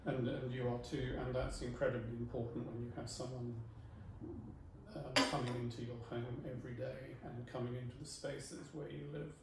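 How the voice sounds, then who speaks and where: A polite, measured man in his fifties speaks calmly as a client. The sound is roomy and distant, picked up by a camera across the table. A steady background hiss sits under his voice. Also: medium pitch. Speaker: client giving feedback. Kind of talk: casual interview. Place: home dining room, indoors. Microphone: far camera. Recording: echoey room, far from mic, noisy.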